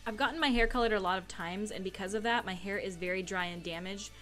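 A woman's voice: mid-range voice, fast, fairly bright tone. A young woman, giving a casual, laid-back tutorial voice-over as a beauty vlogger. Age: young adult. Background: background music.

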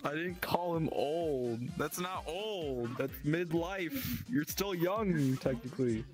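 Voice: mid-range voice, animated, slow pace, over music. A person joking and rambling in a virtual-reality chat room. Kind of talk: casual VR chat banter.

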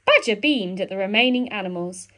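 A woman reading a children's picture book aloud in an expressive storytelling style. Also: medium pitch, brisk. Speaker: storybook reader.

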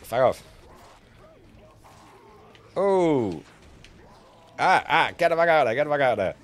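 Sweary, animated man live-streaming a video game. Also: on the high side, measured pace. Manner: sweary, jokey, rambling. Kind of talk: casual live-stream gameplay commentary and chat. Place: indoor home streaming setup. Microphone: close streaming mic on a boom arm.

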